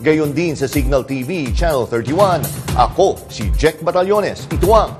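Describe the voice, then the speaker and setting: A man's voice: fairly high pitch, animated, normal speed. Filipino male anchor over a music bed. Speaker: news anchor. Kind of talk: scripted program opening.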